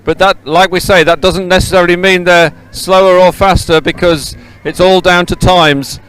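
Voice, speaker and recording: A man giving live, unscripted sports commentary outdoors, halting often to repeat and correct himself. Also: high voice, fairly even intonation, fairly bright tone, clean tone, poor audio. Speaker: race commentator. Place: outdoors beside a race course.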